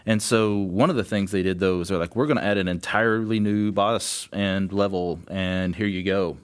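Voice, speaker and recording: Male game designer guest, fairly low pitch, casual anecdotal chat, self-deprecating with chuckles. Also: fairly even intonation, moderate pace, smooth.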